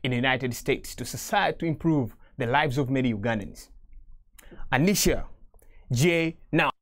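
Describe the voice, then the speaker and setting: A man hosting a television interview in a studio. He winds through long, animated questions, stopping and restarting with plenty of fillers, and his pitch sits in the middle of the usual male range. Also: normal speed. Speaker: TV show host.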